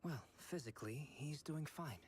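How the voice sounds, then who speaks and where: An actor playing a calm young alchemist in an anime game, speaking in a measured, analytical and explanatory way. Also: male voice, a little high, lightly acted. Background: game music.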